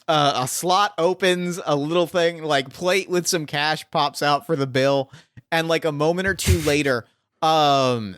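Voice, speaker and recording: A man's voice: on the high side, fairly bright tone, smooth, no room sound, clean studio sound. Rambling man running a tabletop game. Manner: filler-heavy and rambling. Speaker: game master. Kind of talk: narrating a tabletop role-playing game.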